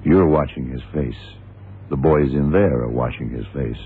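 A man acting a calm, shrewd FBI agent in a radio drama, speaking in a measured, probing, matter-of-fact way. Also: a bass voice, slightly rough, full character voice. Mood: grave. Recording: tinny, narrow-band, noisy old radio recording.

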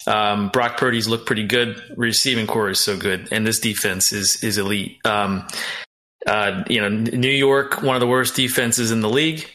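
A man talking casually as a sports betting panelist, in short, halting phrases. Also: fairly low pitch, steady tone, sharp tone. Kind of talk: casual analytical chat.

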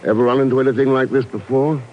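An actor playing a cool, shrewd secret agent with a clipped, dry manner in a 1950s radio drama. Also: male voice, mid-range voice, fairly even intonation, fast, lightly acted. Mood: cool, probing. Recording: muffled, hissy old radio recording.

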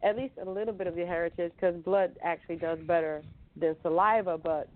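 Animated woman on a tinny phone line. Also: lowish voice, a little fast. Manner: animated, exclamatory, repeats herself for emphasis. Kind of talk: casual chat.

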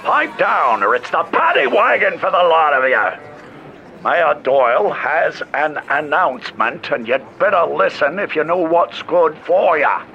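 An actor playing a gruff, bossy old-time police chief in a radio drama, barking threats with authority. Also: male voice, a little high, sing-song, measured pace, highs cut off, exaggerated voice. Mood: stern, threatening. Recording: noisy outdoor crowd scene.

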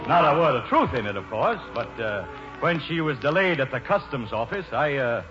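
An actor in a 1940s radio play as a roguish, grandly romantic American actor who declaims poetically. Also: male voice, medium pitch, big pitch swings, normal speed, fairly bright tone. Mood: evasive, breezy. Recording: muffled old radio broadcast, music behind.

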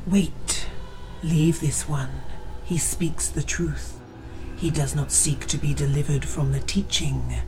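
An actor playing a cold, commanding elder of a demonic order who speaks in a breathy whisper. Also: medium pitch, steady tone, slow, over music, close to an everyday voice, poor audio.

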